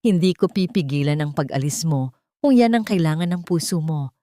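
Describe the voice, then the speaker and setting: A woman's voice: deep voice, brisk, mellow, dry recording, studio quality, natural voice. A woman voicing a heartbroken, devoted wealthy man with a cane, pleading earnestly in an audiobook drama. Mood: tender, resigned.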